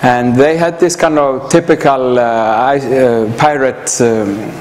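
Middle aged icelandic guy doing an informal talk, lots of ums and pauses, medium pitch, big echoey room. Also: steady tone, a little mellow. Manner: hesitant, halting, with frequent pauses and filler sounds. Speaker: conference speaker. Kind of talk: informal presentation to an audience. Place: indoor hall, standing by a projector screen. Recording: echoey hall from afar, background hiss.

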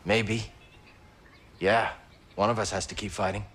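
A man's voice: fairly low pitch, fairly even intonation, unhurried, a bit bright, a little hiss or hum. A man playing a weary, stubborn young rebel fighter in a video game, understated and guarded. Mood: stubborn, earnest. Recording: music behind the voice.

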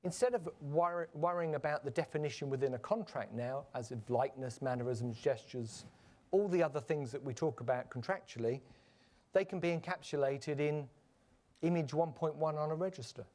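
A man giving a measured, explanatory conference presentation, with frequent fillers and restarts. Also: fairly high pitch, darkish tone. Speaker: conference panel speaker. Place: conference stage.

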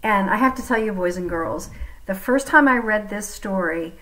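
A woman's voice: medium pitch, moderate pace, fairly bright tone, rough recording. A woman in her forties introducing and reading a children's storybook aloud, expressively, as if to young children. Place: living room at home with bookshelves.

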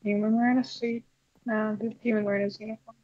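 A woman's voice: a little high, little pitch movement, fast talker, warm tone, muffled recording, natural voice. A woman playing a weary, wary puppet animatronic in improvised game roleplay, dryly sarcastic and dismissive. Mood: dry, teasing. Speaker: player in a Minecraft roleplay. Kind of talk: improvised in-character game banter.

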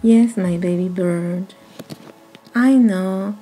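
A woman's voice: mid-range voice, very slow, mellow, soft background music, pitched below the performer's own voice, rough recording. A woman voicing a patient, protective mother bird in a storybook, firm and motherly as she instructs. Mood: patient, soothing. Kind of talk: dramatized picture-book storytelling.

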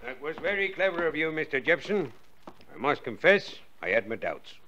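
An actor playing a brisk police inspector in a radio drama, official and commanding. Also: male voice, on the high side, very animated, bright, forceful tone, slight background noise. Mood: authoritative. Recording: slightly dull, lo-fi audio.

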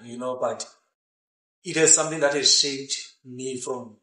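A South African man in his late thirties, a rapper answering interview questions outdoors, talking in a halting way with many restarts and slipping into Xhosa phrases. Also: medium pitch, steady tone, pushed and bright, roomy sound. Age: 30s. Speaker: rapper guest. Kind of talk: casual interview. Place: outdoors by a brick building porch.

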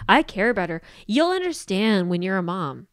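A woman's voice: medium pitch, sing-song, normal speed, a bit bright, smooth, dry recording. A woman co-hosting a podcast in casual, opinionated chat, blunt and sweary, often mimicking people's dialogue, close on a studio microphone. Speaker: podcast co-host. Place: small indoor podcast studio. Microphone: podcast microphone on boom arm, close.

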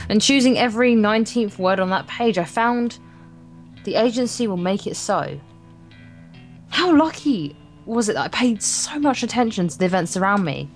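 A woman reading visual novel narration aloud as a let's play co-host, stumbling and correcting herself as she goes. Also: mid-range voice. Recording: guitar music behind. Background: game's guitar music.

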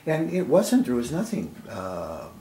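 A man interviewing casually, halting and searching for words as he speaks. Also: mid-range voice, wildly swooping pitch, a crowd in the background. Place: room indoors. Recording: roomy, noisy indoor recording.

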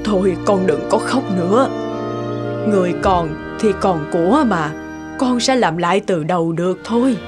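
A woman's voice: on the low side, moderate pace, over music, lightly acted. A woman voicing an earnest, emotional elderly woman in a cartoon family drama. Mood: gentle. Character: earnest, outspoken elderly neighbour and gentle old mother. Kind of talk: animated drama voice acting.

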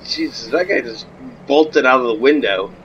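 A young man with a slightly croaky voice gives casual running commentary on a game. He is chatty and jumpy, and game sounds play clearly underneath him. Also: medium pitch, normal speed, fairly bright tone, muffled recording, slight background noise. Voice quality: a little croaky. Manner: jumpy, chatty, talks to viewers. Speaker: gamer.

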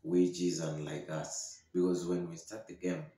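A young man giving solo football commentary as a podcast host, halting and downcast, speaking into a desk microphone in a small room. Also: low voice, little pitch movement, mellow. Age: young adult. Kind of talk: solo post-match commentary to camera. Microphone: desktop podcast microphone, close. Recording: very echoey room.

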